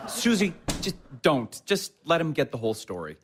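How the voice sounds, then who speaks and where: A man acting in an audio drama as a gentle young husband, husky, soothing and a little hesitant. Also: a little high, huge pitch swings, moderate pace, a faint crowd, heavily acted. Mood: conciliatory.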